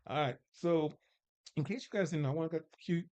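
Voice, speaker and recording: A man's voice: fairly high pitch, a little fast, darkish tone. A man in his forties hosting a casual dating panel show, joking and rambling as he reads out a scenario. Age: 40s. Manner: joking, teasing, loose and rambling. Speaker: host.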